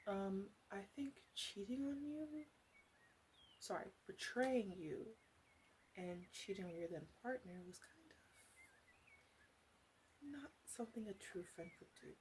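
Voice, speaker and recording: A woman acts out a teasing witch, a few hundred years old yet youthful, in an ASMR roleplay. She speaks slowly at a medium pitch, halting and coy, often slipping into a whisper. A faint noise sits behind her voice. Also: a little mellow, lightly acted, poor audio. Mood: gently concerned.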